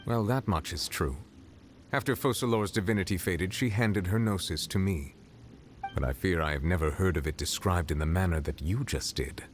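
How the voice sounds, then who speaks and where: An actor playing a dignified male chief justice who speaks in a formal, measured, deliberate way, heard as game dialogue over faint music. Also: low-pitched, slight background noise, close to an everyday voice. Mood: curious.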